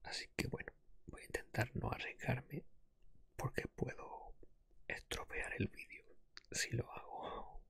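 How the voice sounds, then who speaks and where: A man hosting an ASMR podcast, speaking in a hushed, careful way that mixes breathy whispers with soft-spoken talk, close to the microphone. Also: measured pace, no echo. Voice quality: breathy whisper with soft low voiced stretches. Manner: hushed, careful not to raise the voice.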